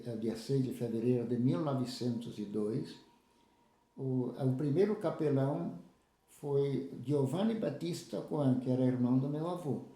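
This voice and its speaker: A man in his seventies, from southern Brazil, recalling his life in a halting way with many restarts. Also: medium pitch, mellow, echoey room. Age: 70s. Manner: halting, restarts with repeated words. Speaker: interviewee, lay church leader. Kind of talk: casual spoken recollection.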